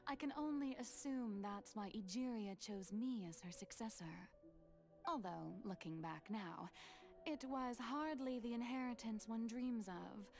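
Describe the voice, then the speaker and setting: A woman's voice: fairly high pitch, unhurried. A woman playing a playful, theatrical, self-amused water goddess in a video game, with arch, flowery, formal diction. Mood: wry, musing. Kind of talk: scripted video game character acting. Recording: music behind the voice. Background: music.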